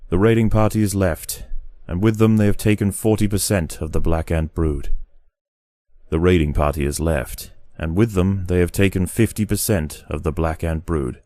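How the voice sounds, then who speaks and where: A man delivering scripted nature documentary narration in a solemn, deliberate, dramatic manner. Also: low-pitched, fairly even intonation, warm tone, dry recording, clean studio sound, natural voice. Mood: grave, ominous. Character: grave, measured male nature documentary narrator.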